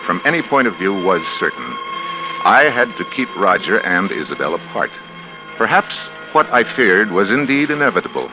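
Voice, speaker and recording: An actor playing a controlled physician husband in a 1940s radio drama, speaking formally and deliberately with an air of dread. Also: male voice, low-pitched. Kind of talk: 1940s radio drama acting, scripted narration. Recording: muffled old radio broadcast with music.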